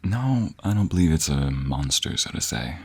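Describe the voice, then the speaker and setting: A man's voice: very deep voice, big pitch swings, normal speed, gravelly, husky, heavily acted. A man playing a playful, doting scoundrel of a lover in a romantic audio roleplay, teasing affectionately. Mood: curious, tender. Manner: teasing, affectionate, chuckling banter.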